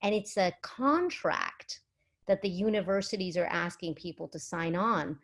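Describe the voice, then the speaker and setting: A woman's voice: fairly low pitch, expressive, unhurried. A middle-aged woman speaking as a physician at a virtual summit, explaining in an articulate, conversational way with emphasis and rhetorical questions.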